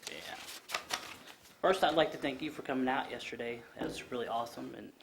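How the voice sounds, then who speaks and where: Earnest low-voiced man at a podium. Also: fairly quick, vocal fry, fairly bright tone, slight background noise. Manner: earnest, plainspoken, frequent ums and restarts. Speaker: community organization speaker at public comment. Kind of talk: public comment speech at council meeting. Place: council chamber. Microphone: podium microphone.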